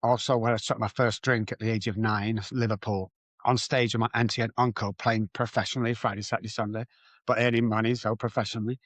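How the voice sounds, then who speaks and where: A man from England with a Manchester accent, speaking as an addiction recovery coach in a podcast interview, energetic with quick restarts. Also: on the low side, dry recording. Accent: Manchester English.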